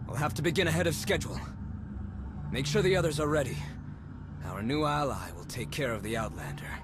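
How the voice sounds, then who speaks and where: An actor playing an agitated, scornful conspirator leader in a video game, speaking in an urgent, haughty way. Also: male voice, fairly high pitch, brisk, background noise, natural voice. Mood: alarmed anger.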